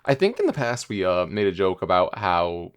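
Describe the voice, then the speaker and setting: A man's voice: sits low, big pitch swings, moderate pace, clear, resonant tone. A man co-hosting a podcast in casual chat, a little tipsy. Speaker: podcast co-host.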